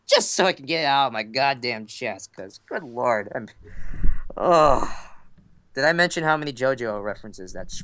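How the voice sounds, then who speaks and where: A young man chatting casually as a podcast guest, excitable and sweary, poking fun at himself with theatrical bursts. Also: fairly high pitch, clean tone. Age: young adult. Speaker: podcast guest, amateur voice actor and fandubber. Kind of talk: casual chat.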